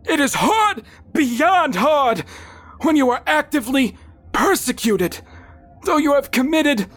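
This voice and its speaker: A man voicing a stern, bearded older father who speaks in slow, solemn pronouncements broken by coughs and hesitations. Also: extremely high pitch, big pitch swings, fairly bright tone, pitched above the performer's own voice, heavily acted. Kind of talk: audiobook character voice.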